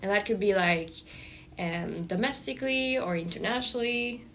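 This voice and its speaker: A young woman hosting a relaxed student podcast, asking questions in a casual conversational way. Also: mid-range voice, normal speed. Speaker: podcast host. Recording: faint background noise, room ambience.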